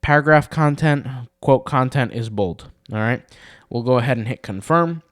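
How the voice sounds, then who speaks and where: Slightly raspy man, rambling tutorial. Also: medium pitch, no echo, clean studio sound. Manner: rambling, lots of fillers and restarts. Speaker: blogging tutor. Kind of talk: casual screen-recorded tutorial.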